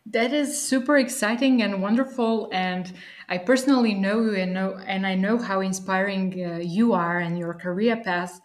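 A woman's voice: medium pitch, moderate pace. A woman hosting an interview podcast, speaking in an enthusiastic and encouraging manner. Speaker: podcast host. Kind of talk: scripted podcast introduction and interview.

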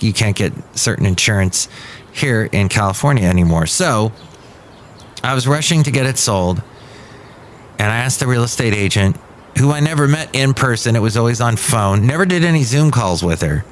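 A middle-aged man chats his way through a podcast, wandering off topic and restarting his sentences as he goes. His pitch sits in an ordinary middle range. A faint hum of café ambience runs beneath his voice. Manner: chatty, drifts off topic, frequent restarts. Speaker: podcast host. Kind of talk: casual rambling monologue.

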